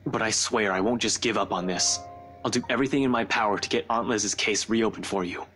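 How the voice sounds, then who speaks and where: A man's voice: on the low side, fairly quick, a bit bright, faint music behind, natural voice. A man playing a weary, earnest young police detective in a visual novel, speaking hesitantly and respectfully. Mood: earnest, reassuring.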